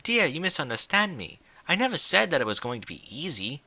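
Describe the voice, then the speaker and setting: Man voicing an elegant, lilting lady pony, higher-pitched. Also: very animated, brisk, bright, forceful tone, slightly rough, no room sound, full character voice. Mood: earnest. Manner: genteel, formal diction with French flourishes. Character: refined, caring, theatrical female pony, gently teasing.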